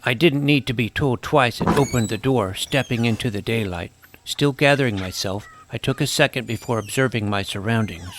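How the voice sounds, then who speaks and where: An earnest, awkward boy adventurer, played by a man, recounts his tale in a medium-pitched voice. The storytelling is wordy and vivid, with clear music underneath, in the manner of a fantasy audio drama. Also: close to an everyday voice. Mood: amazed, recounting. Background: prominent music.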